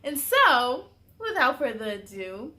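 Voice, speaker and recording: High-pitched woman reading aloud for children. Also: slow pace. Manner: sing-song, expressive, addresses young listeners directly. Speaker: host of a children's story time. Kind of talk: animated children's read-aloud with chatty asides.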